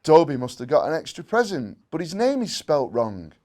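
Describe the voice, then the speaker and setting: Storybook mum, read by a man: kindly, reassuring, gently explaining, calm delivery. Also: on the high side, moderate pace. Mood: puzzled. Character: kind, calm, reassuring mother.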